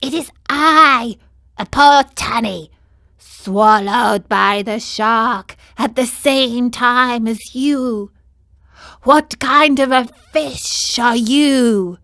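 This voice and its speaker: Woman voicing a slow, bright-voiced resigned tunny. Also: a little high, no room sound, studio quality, full character voice. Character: resigned, philosophical tunny fish.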